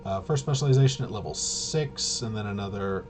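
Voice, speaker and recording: A man giving a casual, off-the-cuff rules walkthrough as a tabletop game reviewer, in a halting, mumbling way. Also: on the low side. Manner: halting, mumbling through half-read rules. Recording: clearly noisy home recording.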